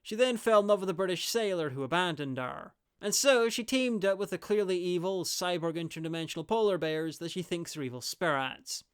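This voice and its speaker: A man reads scripted review narration in a wry way. The voice is smooth and clear, pitched a little high for a man. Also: brisk, few pauses.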